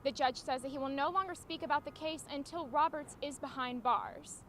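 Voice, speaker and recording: Female TV reporter, high-pitched delivery of scripted news stories, faint background noise. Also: moderate pace, fairly bright tone.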